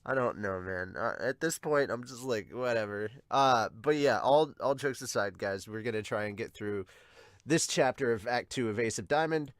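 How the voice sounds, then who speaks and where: A man's voice: mid-range voice, normal speed, no room sound. A congested, sniffly man hosts a casual reaction show in a dry, close recording. He rambles along in a self-deprecating way and swears mildly as he comments. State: congested, sniffly from sinus trouble. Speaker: podcast host and manga reactor.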